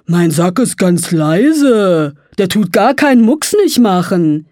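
A big dopey male dragon from a children's storybook, played by a woman, speaking very slowly and childishly. He is clumsy and evasive, with muddled simple grammar, in a dry close recording. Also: mid-range voice, sing-song, dark tone, no room sound. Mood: sheepish denial. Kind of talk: audiobook character voice.

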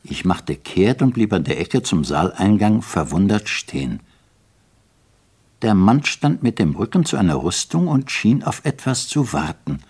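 A man narrating a first-person story in a measured, evenly paced storytelling manner. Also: sits low, darkish tone, slightly rough. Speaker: audiobook narrator.

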